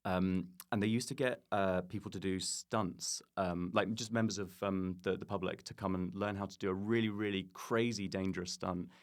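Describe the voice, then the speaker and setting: A man's voice: low-pitched, steady tone, moderate pace, hardly any pauses. A British man chatting casually as a comedy podcast guest, in a rambling, enthusiastic way.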